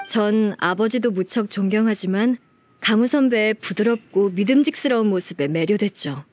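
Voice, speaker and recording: A woman's voice: medium pitch, a little mellow, natural voice. A woman playing an earnest, polite young secretary in a video game, heartfelt and a little hesitant toward an admired senior colleague.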